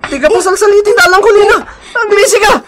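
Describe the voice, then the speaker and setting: A man's voice: very high voice, fast, noisy background. A man acting a scheming, teasing shopkeeper in an Ilocano comedy radio drama, with an Ilocano accent and exaggerated, exclamatory delivery.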